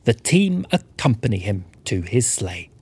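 A man's voice: on the low side, big pitch swings, unhurried, a little mellow, husky, no room sound. A man narrating a scripted story in rhyme, like a dramatic storybook teller. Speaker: co-narrator and writer.

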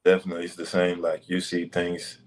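A young man from Senegal, a basketball prospect, answering interview questions in loose, relaxed phrasing over a video call. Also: sits low, a little fast. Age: young adult. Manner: relaxed, loose phrasing with many "like" and "you know".

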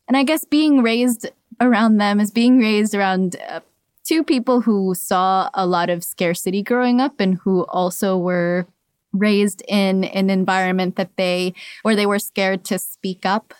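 Podcast guest: young woman from Cuba raised in Miami, mid-pitched, reflective storytelling with frequent soft laughs, dry close mic. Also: unhurried, smooth, no echo. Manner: reflective, laughs often, restarts. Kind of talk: personal storytelling in an interview.

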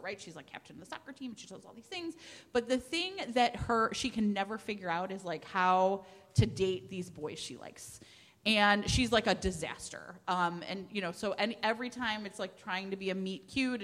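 A woman with an average-pitched voice talks casually as a book panelist. She is chatty and self-deprecating, with "like" threaded through her sentences. The sound carries the long reverb of a hall. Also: fairly bright tone. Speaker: panelist recommending books. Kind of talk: casual chat before a live group.

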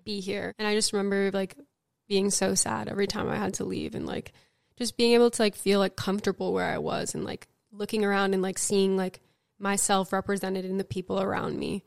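A young woman from California talks through her thoughts on a podcast, her voice smooth and resonant at an ordinary middle pitch. She circles back on herself and leans on "like" as she works things out aloud. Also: brisk. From California, Bay Area. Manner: reflective, many "like" fillers. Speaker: podcast guest. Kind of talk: casual podcast conversation.

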